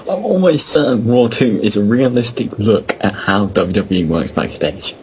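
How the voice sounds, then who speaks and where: A man's voice: fairly low pitch, lively intonation, normal speed, background noise. A man teasing his friends sarcastically in a casual podcast group chat. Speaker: podcast panelist.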